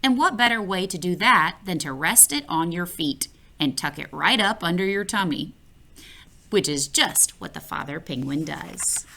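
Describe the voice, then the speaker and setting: A woman reading a picture book aloud for children, playful and expressive, talking straight to the listener. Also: lowish voice, moderate pace, vocal fry, slight background noise. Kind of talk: read-aloud storytelling for children.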